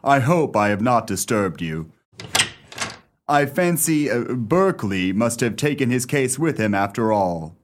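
An actor playing a stuffy old English gentleman in a radio play, speaking in a formal, fussy, slightly faltering way. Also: mid-range voice, very animated, unhurried, noisy background. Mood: awkward, suspicious.

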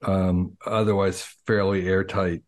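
A man's voice: deep voice, little pitch movement, unhurried. A man hosting an interview, curious, summarizing what his guest shows. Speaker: host. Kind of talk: casual interview.

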